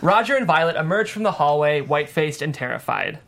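A man's voice: fairly high pitch, expressive, a little fast, creaky, a bit bright. An American man speaks as a screenwriter at a table read. His delivery is casual, whether chatting or narrating stage directions. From US Midwest. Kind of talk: casual discussion and script narration.

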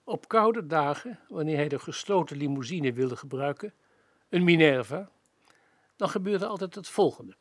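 Radio play narrator: watchful hotel employee , male actor, husky, deliberate storytelling, dry recording. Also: on the high side, expressive, no room sound. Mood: confiding. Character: observant hotel employee recounting gossip about guests.

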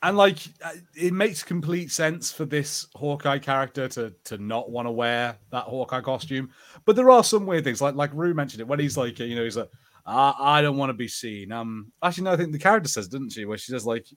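Animated man in casual podcast banter. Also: medium pitch, a little fast. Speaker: podcast co-host. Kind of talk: casual chat, pop-culture review.

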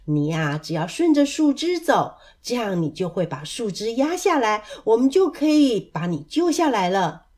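A woman voicing a cheerful, teasing young male elephant who leads his friends, in a bright, chatty children's storybook reading. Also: fairly high pitch, big pitch swings, normal speed.

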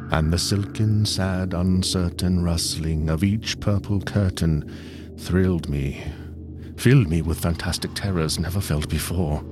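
Man acting a deep-voiced, haunted gothic scholar. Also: noisy background. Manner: theatrical, brooding, dramatic delivery. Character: grieving, haunted male scholar narrator of a gothic poem. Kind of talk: dramatized gothic poetry recitation.

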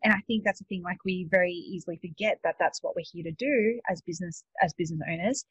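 A woman's voice: lowish voice, fast talker, breathy edge, dry recording. A woman with an Australian accent, a guest coach chatting casually, laughing often and using many fillers. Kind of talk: casual webinar talk. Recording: dull lo-fi dry sound.

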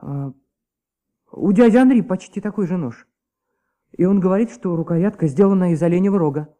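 An actor playing a nervous young choirboy giving testimony in a Soviet radio play, hesitant and stammering, often repeating himself. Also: male voice, high voice, moderate pace, mellow, close to an everyday voice. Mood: anxious, earnest.

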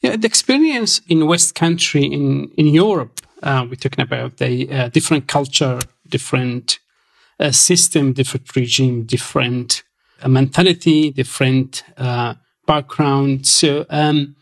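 A man's voice: medium pitch, unhurried, gravelly. Hesitant man with Syrian Kurdish accent. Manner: hesitant, many fillers and restarts. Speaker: guest. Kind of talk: casual interview.

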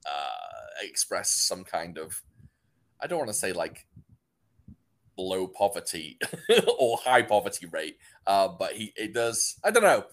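A man's voice: sits low, big pitch swings, measured pace. A young man from North Wales with a North Welsh accent, rambling and laughing often as a podcast co-host. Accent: North Welsh, closer to English. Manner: laughs a lot, rambling with restarts. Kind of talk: casual podcast chat.

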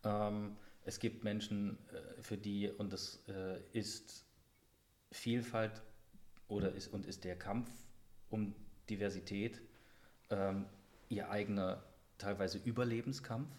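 A man giving reflective interview answers in a deliberate, halting way with formal wording. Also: lowish voice, fairly even intonation, darkish tone. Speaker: interview guest, bookshop owner.

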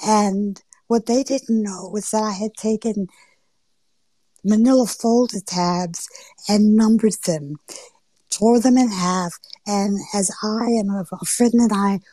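Slow, mellow-voiced American woman. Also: medium pitch, dry recording, low-quality recording. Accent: between Southern and Northern US, neither strong. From Georgia and New York, US. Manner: unhurried storytelling with drawn-out phrases and soft asides. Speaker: panel speaker. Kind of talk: roundtable discussion on a live audio forum.

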